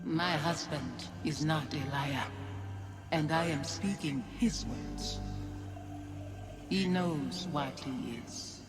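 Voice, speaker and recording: A woman playing the wounded, accusing ghost of a dead wife in a video game, measured and cutting. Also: low voice, over music. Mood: cold. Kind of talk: dramatic video game acting.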